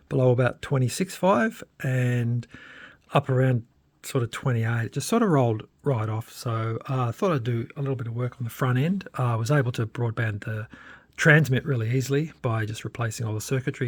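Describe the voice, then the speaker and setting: A man's voice: medium pitch, no room sound. Dry-sounding man, hesitant and casual. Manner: hesitant, unscripted, thinking aloud. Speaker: hobbyist presenter giving a radio modification demo. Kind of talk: casual hands-on tech update.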